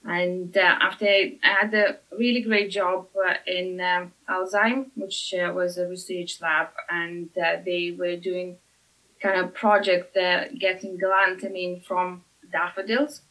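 A woman from Lithuania with a Lithuanian accent, speaking as a podcast guest with many halting "uh" fillers. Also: on the low side, little pitch movement, sharp tone, rough recording. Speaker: master blender at a whisky distillery.

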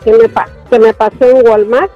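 High-voiced hesitant woman caller. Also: little pitch movement, normal speed, faint music behind. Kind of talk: phone-in story. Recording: dull phone line on radio.

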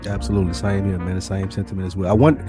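A man's voice: low-pitched, little pitch movement, rapid-fire, mellow, soft background music. An American man hosting a casual podcast among friends, talking in loose, profane slang. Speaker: podcast host.

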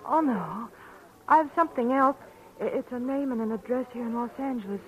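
An actress playing a nervous, hesitant woman client in an old radio detective drama, halting and repeating herself. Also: on the high side, lightly acted. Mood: flustered, alarmed. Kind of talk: 1940s radio acting. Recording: dull old radio recording.

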